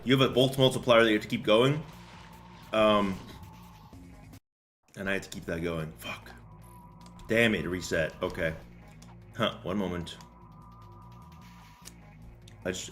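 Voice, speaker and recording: A young man with a lowish voice that swings around a lot. He streams a game, talking casually in slang, joking and rambling over background music. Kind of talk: casual gameplay commentary and chat.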